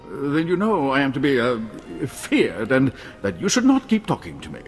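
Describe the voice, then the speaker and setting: Flustered captive nobleman, stammering, swooping wildly in pitch, game score underneath. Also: wildly swooping pitch, normal speed, faint music behind. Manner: stammering, indignant. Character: pampered male noble held captive, whiny and flustered.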